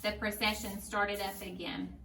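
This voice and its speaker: A woman's voice: medium pitch, level tone, moderate pace, bright, forceful tone. A woman reading a children's story aloud as a storyteller, in scripted narration. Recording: roomy, distant mic, faint noise.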